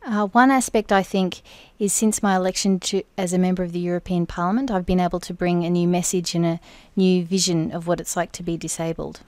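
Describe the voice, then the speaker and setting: A woman interpreting for an interview guest in the first person, speaking in measured, deliberate phrases. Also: lowish voice, fairly even intonation, a little mellow, dry recording. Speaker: sign language interpreter voicing the guest.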